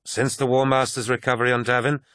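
A man voicing a proud, commanding warrior leader in an audiobook, speaking forcefully like an orator to his troops. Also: medium pitch, flat delivery, fast, clear, resonant tone, no echo, clean studio sound, voice pushed up, lightly acted. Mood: stern, authoritative. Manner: declamatory, forceful speech-making. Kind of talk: dramatic audiobook character voice.

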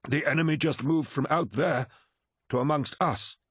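A man's voice: a little high, moderate pace, a bit bright, breathy edge, no room sound, voice pushed up. A man voices an old warrior captain in a science fiction audiobook. He sounds grave and disillusioned, speaking with weary regret and firm resolve in a very dry recording. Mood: regretful.

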